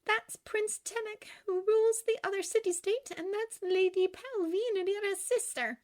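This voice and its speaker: A woman's voice: extremely high pitch, normal speed, a little mellow, voice pushed up. A woman voicing an emotional, melodramatic young woman from a storybook, heartbroken and indignant. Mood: subdued, explaining.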